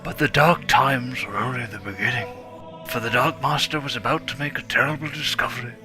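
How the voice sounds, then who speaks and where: A man voicing a wise old male fire dragon mentor, telling the legend in a solemn, measured way, with music underneath. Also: mid-range voice, close to an everyday voice. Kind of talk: dramatic script reading.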